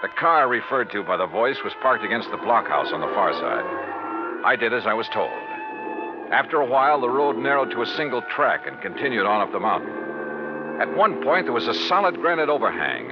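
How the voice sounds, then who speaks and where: A man's voice: medium pitch, noisy background. An American actor playing a wry male insurance investigator in a 1950s radio detective drama, telling his story in a clipped, colorful way. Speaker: lead actor and narrator. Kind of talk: 1950s radio detective drama, first-person narration and dialogue. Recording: dull vintage radio recording, music.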